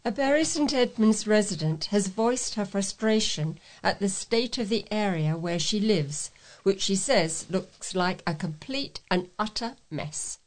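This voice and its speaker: A woman's voice: medium pitch, expressive, measured pace, rough recording. Woman reading local news. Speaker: volunteer local newsreader.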